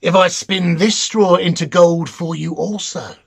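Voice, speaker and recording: A man voicing a crafty, bargaining little male imp in a storybook reading, with sly, drawn-out phrasing. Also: sits high, steady tone, vocal fry, slightly rough, pitched above the performer's own voice, heavily acted.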